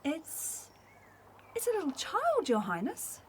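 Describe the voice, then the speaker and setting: An actress playing a dutiful young maid to a princess in a radio drama, deferential and eager. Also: female voice, very high voice, wildly swooping pitch, slow, full character voice. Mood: eager, surprised.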